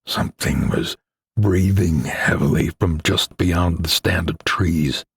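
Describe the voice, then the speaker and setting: A man reading a suspenseful adventure story as an audiobook narrator, in a grave, deliberate, dramatic manner. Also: sits low, gravelly, breathy edge, no echo, clean studio sound.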